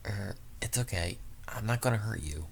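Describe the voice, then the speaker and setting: A man's voice: on the low side, moderate pace, gravelly, slight background noise. Man reading as a lonely winged unicorn princess. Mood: gentle. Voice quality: soft. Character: lonely, distraught winged unicorn princess, female, shyly curious.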